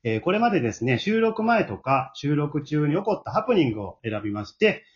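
Male host, Kansai accent, brisk and animated, polite chatty delivery, dull lo-fi call sound. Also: fairly high pitch. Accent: Kansai Japanese. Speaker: host of an amateur radio drama group's talk show. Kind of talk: casual hosted group chat. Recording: dull lo-fi online call audio.

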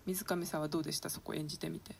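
A woman's voice: deep voice, flat delivery, rapid-fire, creaky, a little mellow, a little hiss or hum. A woman hosting a radio show, chatting casually with her guests and giving announcements in an encouraging, chatty way. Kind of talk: casual round-table chat with announcements.

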